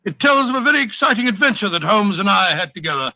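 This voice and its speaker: A genial, fussy British doctor in an old radio play. His voice is high and piercingly bright, with hearty storytelling bluster, on a muffled, dry vintage broadcast. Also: very animated, quick pace, gravelly, no echo, heavily acted. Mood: indignant. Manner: hearty, fussing, chuckling storyteller. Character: genial, blustery older English doctor telling detective tales. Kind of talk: 1940s radio drama acting.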